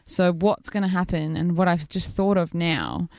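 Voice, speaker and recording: An Australian woman co-hosting a studio radio show, her voice a bit croaky, cheeky and full of lively stories. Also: fairly low pitch, moderate pace, no echo. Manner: cheeky, lively storyteller, laughs easily. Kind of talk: casual studio banter. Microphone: studio microphone.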